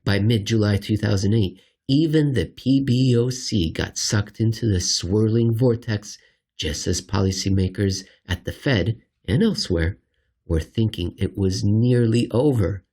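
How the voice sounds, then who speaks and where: Husky, low-voiced man reading commentary. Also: measured pace, warm tone. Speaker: host reading an article aloud. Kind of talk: scripted narration of a finance commentary, with casual asides.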